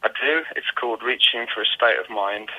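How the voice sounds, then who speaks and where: A British man speaking as a musician guest in a casual interview, thoughtful, modest and measured in how he talks. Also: on the low side, breathy edge, lo-fi sound. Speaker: guest singer-songwriter.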